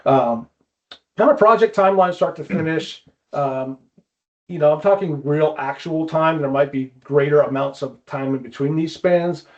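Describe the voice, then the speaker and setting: A man's voice: fairly high pitch, normal speed, darkish tone, muffled recording. A man hosting a casual explanatory podcast, feeling his way through points and often repeating words. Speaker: host, climbing wall builder.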